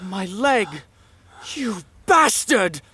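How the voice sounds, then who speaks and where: A man's voice: sits high, lively intonation, extremely slow, bright, forceful tone, a little hiss or hum, heavily acted. A man acting a hot-headed young man spoiling for a fight in a radio drama, cursing and threatening. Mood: angry, threatening.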